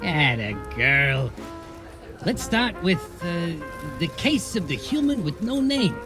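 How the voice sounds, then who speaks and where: Tired gruff barkeep, teasing, pitch jumps around, noir radio play with music. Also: male voice, big pitch swings, takes it slowly, heavily acted. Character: gruff world-weary bartender, fatherly and dismissive. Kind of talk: noir audio drama acting. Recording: music behind the voice.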